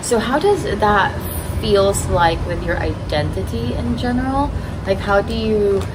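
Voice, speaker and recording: A woman's voice: mid-range voice, expressive, unhurried. A woman with a Filipino accent hosting a casual outdoor chat, asking questions with many fillers. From Philippines. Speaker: host. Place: outdoors in a city street. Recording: loud traffic noise outdoors. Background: passing vehicles.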